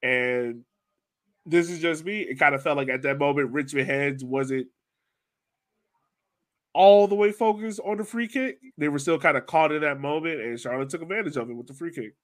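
A man's voice: on the high side, moderate pace. A man hosting a sports podcast, talking in an informal, loosely phrased and slangy way. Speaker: podcast host. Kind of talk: casual soccer podcast discussion.

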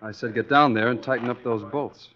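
An actor playing a cocky, ruthless young mining engineer with an American accent and slangy, insolent talk in a 1940s radio drama. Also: male voice, mid-range voice, a little fast, a bit bright, close to an everyday voice. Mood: hard, callous. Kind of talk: 1940s radio acting with first-person narration. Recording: muffled 1940s radio recording, faint music.

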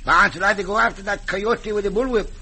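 An actor playing a fat, simple, loyal Mexican cowboy sidekick in an old-time radio western, speaking accented broken English and calling himself by name. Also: male voice, high-pitched, steady tone, fast talker, pushed and bright, slightly rough, heavily acted. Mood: dazed, plaintive. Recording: noisy vintage radio recording.

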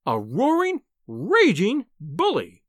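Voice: mid-range voice, wildly swooping pitch, measured pace, fairly bright tone, dry recording, clean studio sound, voice pushed up. A reader voicing a small Edwardian wife with a furious temper, screaming and scolding in an audiobook.

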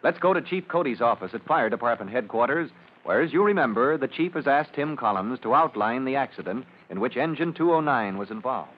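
A man's voice: mid-range voice, expressive, a little fast. A man narrating an old-time radio serial as its announcer, in a formal, dramatic scripted delivery. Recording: muffled, noisy old radio recording.

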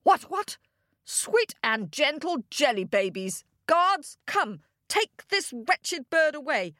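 A grumpy, pompous storybook king acted by a woman, barking commands with indignant bluster. The pitch is high and swoops about in exasperation. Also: big pitch swings, slow pace, bright, forceful tone, dry recording, studio quality, heavily acted. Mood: exasperated. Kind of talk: theatrical children's storytelling.

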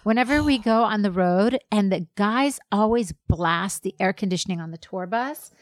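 A chatty, upbeat woman co-hosting a podcast, easygoing and quick to laugh. Her voice sits at an ordinary middle pitch. The sound is dry and close, with no room echo. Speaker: podcast co-host. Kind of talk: casual chat.

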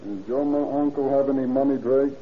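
An actor playing a blunt, skeptical police detective in an old-time radio drama, asking questions in a businesslike way. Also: male voice, mid-range voice, a little fast, close to an everyday voice. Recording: muffled, echoey, noisy vintage radio recording.

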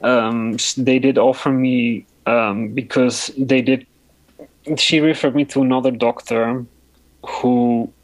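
A man with a deep voice giving a personal account in an interview, often pausing and searching for words. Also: little pitch movement, no echo, rough recording. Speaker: interview guest.

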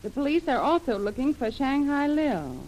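Casting: hard-boiled woman adventurer, brusque and determined, lively intonation, 1930s radio drama, slightly noisy vintage sound. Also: high-pitched, normal speed. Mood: reading aloud. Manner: brusque, slangy, commanding. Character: bold, tough, determined adventuress threatening with a gun. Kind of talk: 1930s American radio serial acting.

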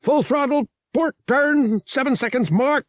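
A man's voice: extremely high pitch, measured pace, dry recording, clean studio sound, voice pushed up. A man voicing an alien pilot barking clipped, urgent orders in an audiobook. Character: rapid-fire alien pilot calling out orders over the comm.

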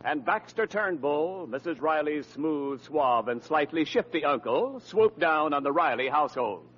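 A man's voice: fairly high pitch, lively intonation, a little slow, a bit bright, slightly rough. An American man announcing in dramatic, emphatic 1940s radio style. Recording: muffled vintage radio with music behind. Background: music.

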